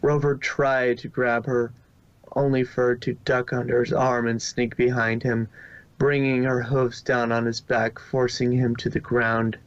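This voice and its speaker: A man's voice: medium pitch, little pitch movement, a little slow, dry recording. A man narrating a story from a script, now and then stumbling over a word and repeating it. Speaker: fan fiction reader and narrator. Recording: dull lo-fi home recording.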